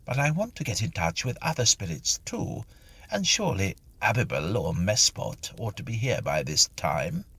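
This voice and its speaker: A man voicing a prim gentleman ghost, indignant and sarcastic, in a storybook reading. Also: on the low side, sing-song, normal speed, slightly rough, dry recording, exaggerated voice. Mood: earnest.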